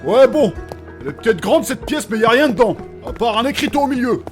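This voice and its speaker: A man's voice: extremely high pitch, lively intonation, fast talker, soft background music. A man playing a flippant, sarcastic, unflappable joker with a casual manner in a comedic fantasy audio drama. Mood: bored, mocking.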